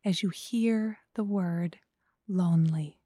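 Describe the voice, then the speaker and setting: Female meditation guide, very slow, hushed whispering delivery, warm and dark tone. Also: lowish voice, husky, no echo, clean studio sound. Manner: slow, soft, often whispered.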